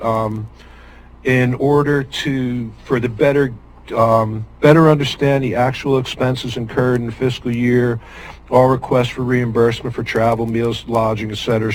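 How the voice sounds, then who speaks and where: An older man, a former auditor, giving public comment at a meeting, methodically reading policy wording and pausing with frequent ums and restarts. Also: mid-range voice, slight background noise, poor audio.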